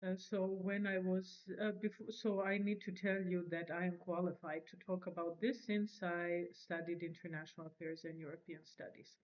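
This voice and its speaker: A woman's voice: lowish voice, steady tone, normal speed, hardly any pauses, dull audio. Hesitant woman in her forties. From Serbia. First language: Serbian. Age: 40s. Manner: hesitant, many fillers and restarts. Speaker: channel host. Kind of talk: unscripted self-introduction to camera.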